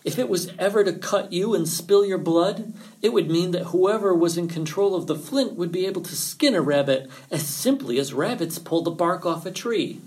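A man voicing a sly male fox in an animal folktale, feigning worry with theatrical, drawn-out pleas and warnings. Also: sits high, a little mellow, pitched above the performer's own voice, lightly acted. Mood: mock alarm.

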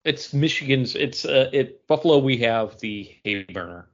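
A man's voice: medium pitch, expressive, normal speed. An American man with a mid-range voice chats casually as a podcast co-host. He reviews and discusses things in an informal, conversational way. The sound is a little dull. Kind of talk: casual chat and beer review.

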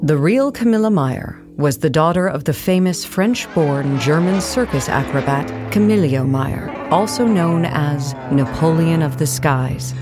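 A woman's voice: sits low, animated, unhurried, few pauses, music in the background. A woman narrating an audio play in a scripted documentary style.

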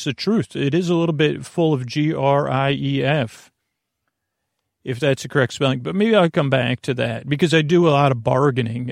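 Creaky-voiced man rambling softly through bedtime talk. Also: medium pitch, no echo, clean studio sound. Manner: meandering, halting, mumbling, with word fragments and tangents. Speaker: podcast host. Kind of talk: rambling bedtime monologue meant to lull listeners to sleep.

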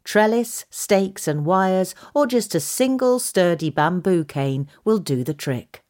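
A woman reading a scripted gardening article aloud in a measured, instructional manner. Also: fairly low pitch, animated, a little mellow. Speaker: reader of a gardening magazine article. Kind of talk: scripted narration.

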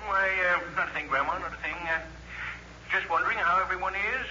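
A man's voice: high voice, fairly quick, bright, forceful tone. An actor playing a pompous middle-aged businessman, oratorical and easily flustered, in a 1950s radio comedy. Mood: hesitant, casual. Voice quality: oratorical, hesitant when flustered. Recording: muffled, noisy old radio broadcast.

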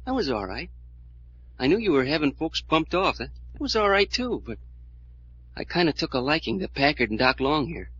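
An actor playing an earnest, resentful American younger brother, plain-spoken and halting, in 1940s radio style. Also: male voice, on the high side, big pitch swings, a bit bright, slightly rough, full character voice. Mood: resolute. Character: wounded, resentful, earnest younger brother, small-time tough. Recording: dull vintage radio recording.

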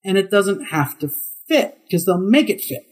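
A middle-aged man with a high tenor voice, a guest voice actor telling chatty anecdotes in an interview, laughing often and acting out the quotes. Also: sing-song, moderate pace. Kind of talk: casual interview storytelling.

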